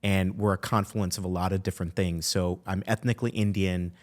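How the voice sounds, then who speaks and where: A man from Miami hosts a podcast interview, relating to his guest before moving on with a measured question. His voice is very deep and low-set. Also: fairly even intonation. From Miami, USA. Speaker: podcast host. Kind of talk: interview conversation.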